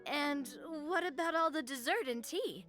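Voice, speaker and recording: An actress playing a cheerful, bubbly young woman in a video game, quick to laugh and curious. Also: sits high, lively intonation, a bit bright, faint music behind.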